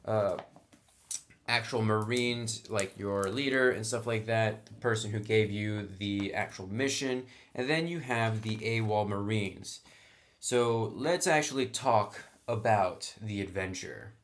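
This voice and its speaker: A man's voice: lowish voice, measured pace, fairly bright tone. A man hosting an informal review, explaining things step by step in a conversational, explanatory way. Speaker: game reviewer, host. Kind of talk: informal product review commentary.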